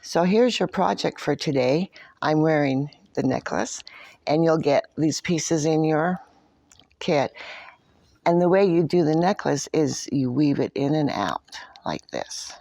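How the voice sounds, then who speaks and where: A woman's voice: sits low, lively intonation, measured pace, creaky, a little mellow, no room sound, clean studio sound. A woman children's librarian talking at storytime, explaining things simply for young children. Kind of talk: children's storytime craft talk.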